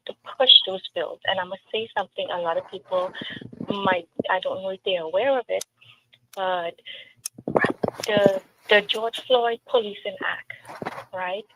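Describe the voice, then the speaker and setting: A woman with a Trinidadian accent, speaking earnestly and emphatically as a political candidate in an interview. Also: mid-range voice. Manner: earnest, emphatic, with storytelling asides. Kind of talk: interview answers. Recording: tinny phone-like line with faint noise.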